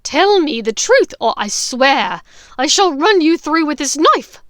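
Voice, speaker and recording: A woman voicing a crazed, furious witch in an audiobook story, seething and shouting menacingly. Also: sits high, very animated, unhurried, dry recording, clean studio sound, voice pushed up, heavily acted. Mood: crazed threatening. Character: crazed, furious adult witch interrogating a captive.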